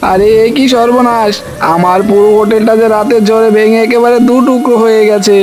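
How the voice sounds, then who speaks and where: A man's voice: high-pitched, little pitch movement, fairly quick, close to an everyday voice. A man voicing a scheming, self-pitying rival eatery owner in a cartoon fairy tale, with a melodramatic manner. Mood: wailing, distraught. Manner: wailing, melodramatic.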